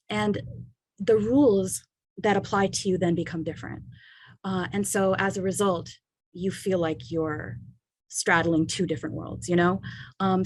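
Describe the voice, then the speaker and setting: Husky-voiced woman lawyer, dry sound. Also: medium pitch, darkish tone, dry recording, low-quality recording. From United States. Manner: thoughtful, many fillers like um and you know. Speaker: immigration lawyer, guest. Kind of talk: casual interview talk.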